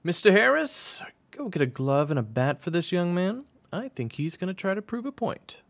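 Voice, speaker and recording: A man voicing a gruff, sardonic male baseball coach with a brusque, casual drawl in an audiobook story. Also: sits high, very animated, normal speed, pitched above the performer's own voice, exaggerated voice. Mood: sardonic, challenging.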